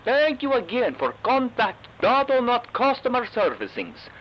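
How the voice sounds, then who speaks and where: A cheerfully shifty little shopkeeper for a comic audio drama, with a high voice that swings widely in pitch. He speaks slow, broken English and refers to himself in the third person. The sound is muffled and slightly noisy. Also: wildly swooping pitch, full character voice. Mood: sly, polite. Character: Dado, cheerful evasive shopkeeper of mysterious donut business. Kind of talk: comedic audio drama character acting.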